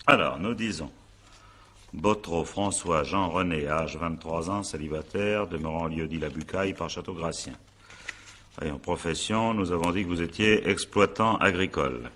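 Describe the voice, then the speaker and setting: An actor playing a brisk, impatient male magistrate in a French radio drama, with an authoritative, clipped and dryly ironic way of questioning. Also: sits low, a bit bright. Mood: formal, brisk.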